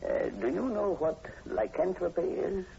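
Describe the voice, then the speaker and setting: Gravelly, probing radio-play professor. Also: male voice, sits high, animated, dark tone, heavily acted. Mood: grave, explanatory. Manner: measured, hesitant, professorial. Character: inquisitive, scholarly male professor investigating a strange killing. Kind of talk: old-time radio drama acting. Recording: muffled, noisy vintage radio recording.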